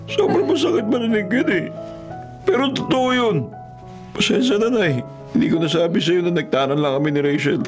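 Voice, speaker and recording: A man's voice: sits high, moderate pace, over music, natural voice. A man playing a poor, heartbroken young gardener in a Filipino radio drama, humble, earnest and pleading. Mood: heartbroken, apologetic. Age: young adult.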